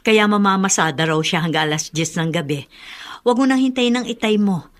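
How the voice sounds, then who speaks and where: A woman playing a caring middle-aged mother in a Filipino radio drama, gently admonishing. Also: medium pitch, a little fast, natural voice. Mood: gently firm.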